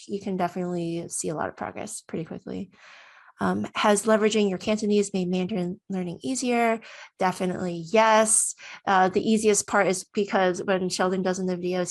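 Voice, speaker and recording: A woman in her thirties speaks casually, as if recording a video testimonial. Her voice sits at a medium pitch. She is chatty and expressive, putting her own thoughts into words as she goes. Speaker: student giving a testimonial.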